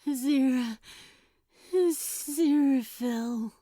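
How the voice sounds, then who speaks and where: A woman's voice: high voice, mellow, no echo, studio quality. Woman voicing a flustered, stammering male demon. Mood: hushed, awestruck. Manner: halting, stammering, repeats himself. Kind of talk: dramatic audiobook character acting.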